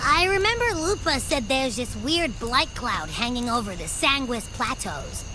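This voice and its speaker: A breezy young female companion from a video game, cheerful and food-loving. Her voice sits high and swings animatedly at an unhurried pace, over clear background ambience. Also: sing-song, background noise. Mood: relaxed, casual. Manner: playful, breezy, casual.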